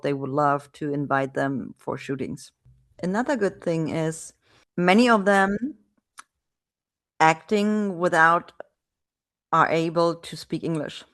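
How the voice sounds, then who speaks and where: German woman hosting a casual chat. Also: deep voice. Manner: chatty, frequent restarts and fillers. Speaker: podcast host. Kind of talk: casual video-call interview.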